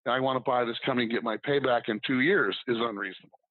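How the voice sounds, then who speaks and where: A man's voice: medium pitch, quick pace, no room sound. A man who is a guest on a business podcast, rambling casually with lots of ums and restarts. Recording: dry tinny phone-like line.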